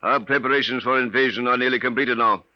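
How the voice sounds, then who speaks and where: A man playing a cold, formal Martian invader with measured, menacing politeness in 1950s radio drama style. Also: medium pitch, fairly even intonation, natural voice. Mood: smugly menacing. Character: cold, courteous male Martian invader and interrogator. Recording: muffled vintage 1950s radio recording.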